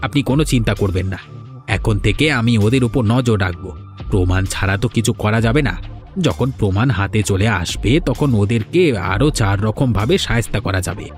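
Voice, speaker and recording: A man's voice: fairly low pitch, a little fast, close to an everyday voice. A man voicing a landlord's sly, insolent errand man in a village cartoon, with a taunting, insinuating manner. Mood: obedient. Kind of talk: cartoon voice acting. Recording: music behind the voice.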